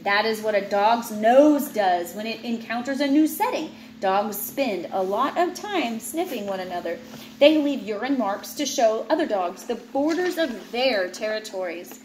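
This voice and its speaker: A woman's voice: fairly high pitch, animated, measured pace, darkish tone. A woman in her thirties reading a children's book aloud expressively, like a teacher, breaking off into playful, chatty asides. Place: indoors in an open room at home with high ceiling. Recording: roomy home recording with clear background noise.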